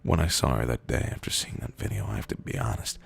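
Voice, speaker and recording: Male reader for comic monologues: husky, very creaky, deadpan delivery with drawn-out pauses, recorded dry. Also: a bass voice, dry recording. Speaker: reader of internet copypasta posts. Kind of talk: deadpan comedic reading of written posts.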